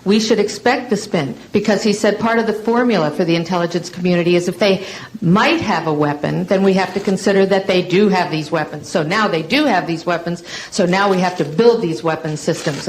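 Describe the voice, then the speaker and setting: A woman's voice: fairly low pitch, fairly quick, poor audio. A woman giving an earnest spoken explanation, laying out an argument step by step. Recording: noticeable background noise.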